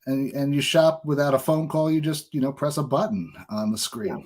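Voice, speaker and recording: A man's voice: medium pitch, normal speed, darkish tone. An American man hosting a podcast, chatting casually and offering his own opinions in an informal way. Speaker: podcast host. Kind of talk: casual conversational interview.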